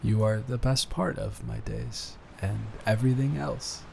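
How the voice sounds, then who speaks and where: A man playing a sweet, nervous boyfriend in an improvised intimate roleplay audio, speaking in a halting, earnest, tender way. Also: on the low side, vocal fry, darkish tone, slight background noise. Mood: happy, tender. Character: sweet, smitten, nervous boyfriend proposing to partner.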